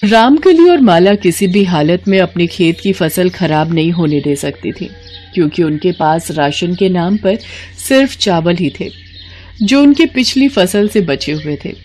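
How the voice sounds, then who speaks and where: A woman reading scripted narration for an animated village story. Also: on the low side, unhurried. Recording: noticeable background noise.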